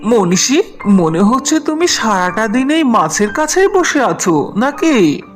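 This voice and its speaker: A woman voicing a gentle, loving fisherman husband in a cartoon folk tale, tender and earnest. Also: a little high, very animated, takes it slowly, faint music behind. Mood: gently concerned.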